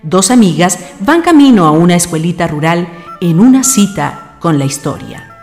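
Female narrator, scripted storytelling for radio theatre, deliberate delivery, lower register, music bed. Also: big pitch swings, darkish tone.

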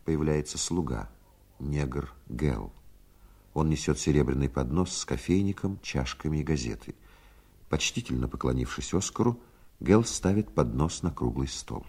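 A man narrating a radio play in a literary, measured, dramatic style. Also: rumbling low, expressive, a little mellow, breathy edge. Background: music.

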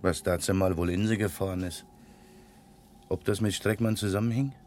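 An actor playing a pious, timid, earnest bridegroom with a Silesian accent in a German radio drama. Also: male voice, sits low, steady tone, moderate pace, darkish tone, natural voice. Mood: earnest, uneasy. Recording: noisy old radio production.

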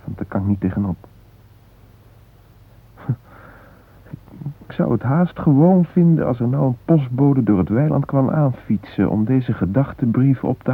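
Frightened, husky hostage father, phone-like sound. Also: fairly low pitch. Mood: tender, fearful. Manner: reflective, halting, wryly self-mocking. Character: frightened hostage father, musing, vulnerable, wry. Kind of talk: radio drama interior monologue and dialogue. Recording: muffled phone-like band.

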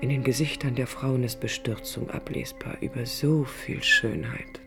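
A woman's voice: a bass voice, steady tone, moderate pace, gravelly. An actress playing a proud, brooding woman architect in a radio play, speaking in an introspective, literary and bitter way. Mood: brooding, wistful. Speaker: lead character and first-person narrator. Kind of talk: radio play acting, interior monologue and dialogue. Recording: studio radio drama with music behind.

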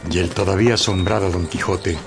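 A man narrating a radio drama in a lively scripted storytelling style. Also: deep voice, fairly quick, gravelly, noisy background.

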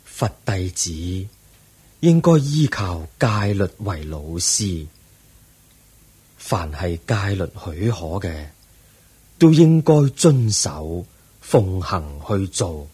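Aged Buddha, deep, slow and husky. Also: male voice, big pitch swings, mellow, full character voice. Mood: firm, instructive. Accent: Hong Kong Cantonese. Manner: solemn, measured teaching delivery. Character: aged Buddha, serene, patient teacher nearing death. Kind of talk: Buddhist radio drama acting.

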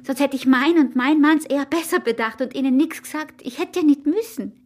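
An actress playing a defiant, seductive smuggler's wife in a radio drama, with an Austrian accent and a taunting, commanding manner. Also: female voice, high voice, moderate pace, close to an everyday voice. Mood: coaxing, sly. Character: defiant, seductive smuggler's wife, scornful and newly self-assured.

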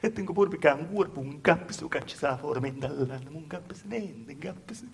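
A male actor playing a poor, naive, anguished young village woman in love, in a stage monologue with a Calabrian accent, telling it in halting, repetitive short phrases. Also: on the high side, creaky. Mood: anxious, pained. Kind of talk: solo stage monologue in southern dialect. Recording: faintly noisy live theatre recording.

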